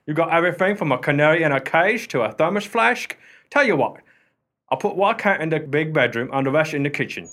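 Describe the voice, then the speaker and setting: An actor playing an irreverent, wisecracking working-class porter in a radio play, with a chatty, teasing manner. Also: male voice, on the high side, lively intonation, fast, fairly bright tone. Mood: amused, chatty.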